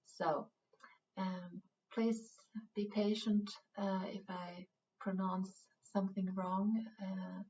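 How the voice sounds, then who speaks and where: A woman from Sweden with a Swedish accent, a native Swedish speaker, teaching a yoga class in English, speaking slowly and patiently with frequent hesitations. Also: medium pitch, little pitch movement, dark tone, echoey room, far from the mic, dull audio. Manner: slow, patient, with many hesitations. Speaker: yoga instructor. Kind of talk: guided yoga class instruction.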